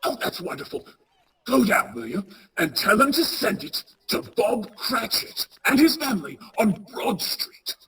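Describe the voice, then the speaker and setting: A woman playing an excitable, repentant old male miser in a radio play, stammering and exclaiming. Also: mid-range voice, measured pace, a little hiss or hum, poor audio. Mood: giddy, overjoyed. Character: frightened old male miser, desperately repentant, then giddy.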